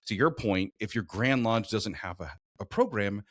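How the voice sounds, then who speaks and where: The host of a hobby podcast talking casually into a close mic: an American man in his forties, low voice, no room sound. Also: expressive, moderate pace, fairly bright tone, no echo. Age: late 40s. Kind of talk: casual conversational interview.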